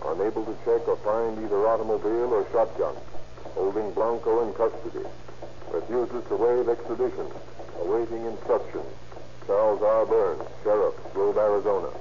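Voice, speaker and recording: A man's voice: fairly low pitch, moderate pace, warm tone, lightly acted. A man playing an official who reads telegrams in a formal, clipped way in an old radio crime drama. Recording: dull, noisy old radio recording.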